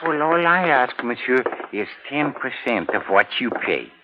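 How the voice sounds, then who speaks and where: An actor playing a slippery, obsequious go-between in a vintage radio drama. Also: male voice, medium pitch, sing-song, a little slow, background noise. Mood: ingratiating. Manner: obsequious, wheedling. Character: slippery, ingratiating foreign male go-between hustling commission. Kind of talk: 1950s radio drama acting. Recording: dull old radio broadcast.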